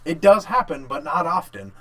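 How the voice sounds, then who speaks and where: Halting man chatting casually on a podcast. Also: fairly high pitch, sing-song, sharp tone. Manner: halting, thinks aloud in short bursts. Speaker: podcast co-host. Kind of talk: casual chat about games and work.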